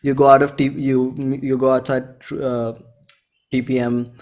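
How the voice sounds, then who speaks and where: Young Indian man, informal and halting. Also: medium pitch, level tone, a little mellow. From central India. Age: 20s. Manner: informal, halting, thinks aloud with frequent self-corrections. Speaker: indie musician being interviewed. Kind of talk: casual interview chat.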